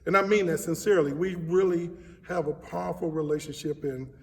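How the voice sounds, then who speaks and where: A man's voice: a little high, brisk. A man speaking casually as an interviewed director, earnest and emphatic, often repeating his words. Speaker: stage play director being interviewed. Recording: echoey hall, distant mic.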